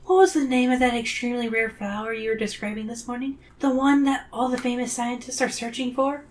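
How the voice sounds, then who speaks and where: A reader puts on an excitable young girl from a storybook adventure. The voice is pitched well up, eager and impish, with a playful put-on innocence. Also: a little fast, slight background noise, close to an everyday voice. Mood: innocent, calling.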